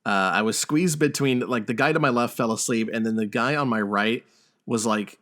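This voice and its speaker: A man's voice: medium pitch, moderate pace, fairly bright tone. A man hosting a podcast, chatting casually about games and film and swearing freely. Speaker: podcast host.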